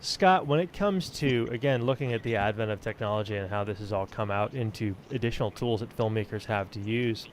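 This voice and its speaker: A man's voice: fairly quick, hardly any pauses. A man hosting a casual podcast discussion, speaking informally and with firm opinions. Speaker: podcast host, audio editor. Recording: background rumble and noise.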